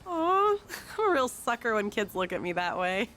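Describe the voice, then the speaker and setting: A woman's voice: fairly high pitch, big pitch swings, normal speed, a bit bright, soft background music. A woman playing an upbeat, sporty teenage girl who coaches kids firmly, in an anime game dub. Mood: hesitant, then firm. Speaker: student volunteer coach.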